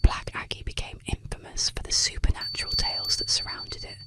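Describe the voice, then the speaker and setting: A woman whispers an ASMR story right up close to the microphone. She reads eerie legends from a script in short, deliberate phrases, leaving soft pauses between them. The whole delivery stays hushed and breathy, with almost no voiced tone.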